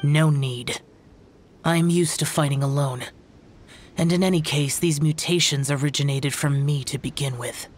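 A man's voice: on the high side, steady tone, normal speed, close to an everyday voice. An actor playing an aloof young male demon-hunting warrior in a video game, speaking in a formal, cold and grave way.

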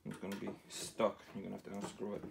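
A man's voice: deep voice, monotone, fast talker, gravelly, heavy background noise. A man in his thirties casually explaining each step of a home DIY setup in plain, matter-of-fact fragments, recorded in a garage workshop on a camera mic. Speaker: DIY how-to host.

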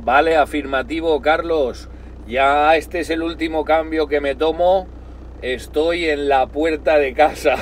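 A man's voice: fairly high pitch, steady tone, measured pace. A man with a Spain accent chatting casually as a radio operator, speaking inside a parked car. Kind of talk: casual radio contact chat, signing off. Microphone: phone filming at close range. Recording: faint car and street noise.

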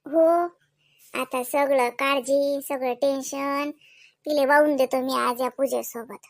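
A woman's voice: sits high, steady tone, slow, no echo. A woman voicing an anxious, devout young village wife in a comedy cartoon, homely in manner, with a Varhadi Marathi accent. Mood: pleading. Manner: pleading, homely, chatty.

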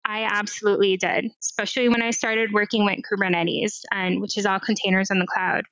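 A woman's voice: mid-range voice, flat delivery, brisk, fairly bright tone, smooth, no room sound. Woman guest on a dull video call. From Hawaii. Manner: thoughtful, many small restarts and fillers. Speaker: guest, cloud AI expert. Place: home room with window blinds. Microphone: headset on video call. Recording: slightly dull lo-fi call audio.

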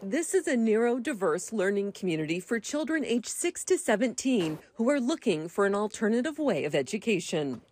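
A woman reporter reading a scripted news voice-over in a clear, measured way. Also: mid-range voice, very animated, darkish tone.

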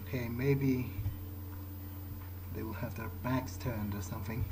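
A man's voice: medium pitch, fairly even intonation, measured pace, darkish tone, slight background noise. A man giving a casual running commentary on the game he is playing. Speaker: gamer narrating own play. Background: game music.

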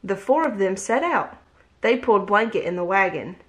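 Woman gently reading a picture book. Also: mid-range voice, moderate pace. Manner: gentle, expressive storytelling. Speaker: read-aloud host. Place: home room, indoors. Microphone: webcam at desk distance.

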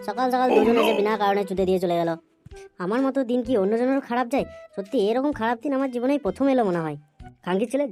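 Brief: woman as whiny young male cartoon drinker, pleading and grumbling, high voice, extremely quick patter. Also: a little mellow, natural voice. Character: hapless, wheedling young male boozer, crude and whiny. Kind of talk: comedy cartoon dialogue and monologue.

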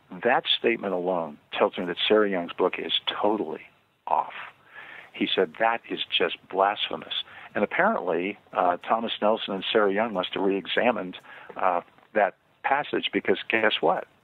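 An older man, an author and guest on a radio interview, speaking earnestly and measuredly with frequent pauses and fillers. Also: fairly low pitch. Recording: tinny phone line, dry.

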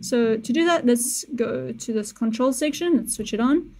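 A young woman walks through music software step by step in an easy, casual way. Her voice is fairly high but mellow and soft-edged. She sounds close to a studio mic in a small treated room. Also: normal speed. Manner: relaxed, step-by-step explaining, self-correcting asides. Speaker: music producer tutorial host. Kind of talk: casual screen-recorded software tutorial. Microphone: studio mic on boom with headphones.

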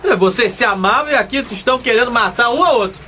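Bright, excitable Brazilian husband. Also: male voice, extremely high pitch, fast talker, a little hiss or hum. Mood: wry, relieved. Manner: melodramatic, wry. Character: put-upon, excitable young father, Olavo. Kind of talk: comedic radio soap acting and narration.

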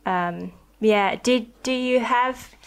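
A young woman hosting a podcast interview, talking in an enthusiastic and thoughtful way with many likes, into a boom-arm mic in a home studio. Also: takes it slowly, clear, resonant tone. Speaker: podcast host. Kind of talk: conversational interview. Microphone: studio mic on a boom arm with headphones.

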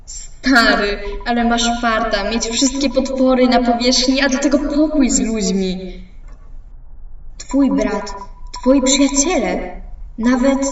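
A woman's voice: on the high side, slow, lo-fi sound. A woman in an amateur fan dub voicing a scheming, falsely sweet and menacing double, with taunting, dramatic delivery. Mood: taunting, mock-friendly. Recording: very echoey, distant, music underneath.

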